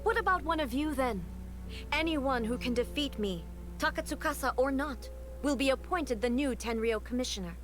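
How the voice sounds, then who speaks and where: A woman voicing a stern, regal female ruler in video game dialogue, speaking in a formal, imperious and measured way, with faint music behind. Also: high-pitched, lively intonation. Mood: commanding.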